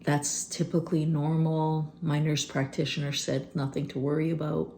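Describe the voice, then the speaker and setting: A woman in her fifties talking candidly and unscripted in a vlog, rambling with many fillers and a phlegmy throat she keeps clearing. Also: low voice, little pitch movement, moderate pace, mellow, a little hiss or hum. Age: 50s. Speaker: vlogger. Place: rural homestead.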